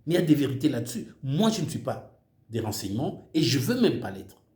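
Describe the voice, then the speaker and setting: A man's voice: medium pitch, sing-song, fairly quick, mellow, echoey room. An older man with a Congolese French accent, whose first language is Swahili, giving an impassioned political monologue with emphatic repetitions. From Democratic Republic of the Congo. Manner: emphatic, repeats words while searching for them. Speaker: political speaker.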